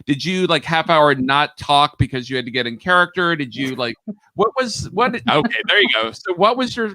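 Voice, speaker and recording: A man's voice: a little high, animated, brisk, bright, forceful tone, no room sound, rough recording. A man co-hosting a casual video-call chat, asking rambling questions. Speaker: host.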